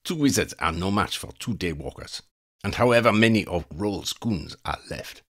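Kingsley, a stern gruff wizard minister, as a man puts him on. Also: sits low, expressive, moderate pace, a bit bright. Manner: stern, growling.

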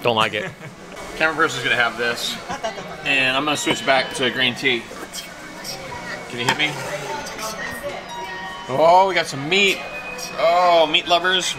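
A man in his fifties hosting a casual food vlog, chatty and playful, reacting loudly as he tastes sushi in a small restaurant. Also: mid-range voice. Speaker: food vlogger host. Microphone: handheld camera close up. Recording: noisy restaurant room.

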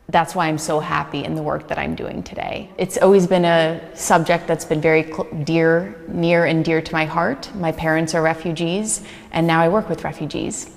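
An earnest woman in her thirties, whose first language is Hungarian, talks in a chatty, easy way, with plenty of ums. Her voice sits a little low. She wears a lapel mic in a large gallery room with a long reverb. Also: vocal fry. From United States. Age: 30s. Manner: earnest, chatty, quick laughs, many fillers. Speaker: refugee services manager. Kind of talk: interview answers. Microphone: small lapel mic.

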